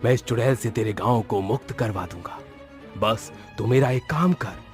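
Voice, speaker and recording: A man voicing a calm, confident holy man in a horror story, solemn and commanding. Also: medium pitch, close to an everyday voice. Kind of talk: Hindi horror storybook voice acting.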